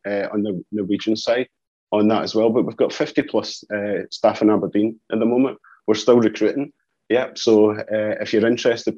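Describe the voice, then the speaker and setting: Scottish guy talking business on a call, bit raspy, muffled roomy sound, says you know a lot. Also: fairly low pitch, darkish tone. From Scotland. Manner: repeats "you know" and "as well" as verbal tics. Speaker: company executive being interviewed. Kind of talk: informal business interview. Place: office, on a video call.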